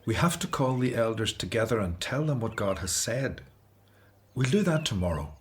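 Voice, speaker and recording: A man's voice: medium pitch, big pitch swings, moderate pace, a little hiss or hum, lightly acted. An actor playing a humble, earnest older man back from exile in a radio drama. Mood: resolute.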